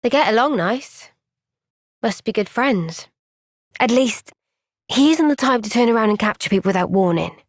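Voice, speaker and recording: A young fighter girl in a game cutscene, high pitched, unhurried, sincere and firm, really dry sound. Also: expressive, no echo. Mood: thoughtful. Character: earnest, gentle but firm young woman fighter. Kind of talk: video game cutscene voice acting.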